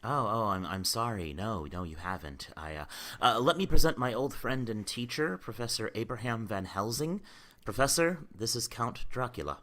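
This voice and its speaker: Man playing bright, excitable sceptical doctor. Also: medium pitch, normal speed. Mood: nervous, polite. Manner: emphatic, excitable. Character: sceptical, hot-tempered male doctor, indignant and flustered. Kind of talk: vintage radio drama acting.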